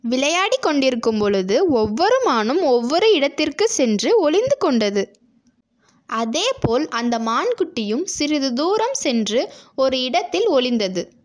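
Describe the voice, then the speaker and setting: A woman's voice: sits high, sing-song, unhurried. A woman narrating a scripted bedtime story for children as a storyteller.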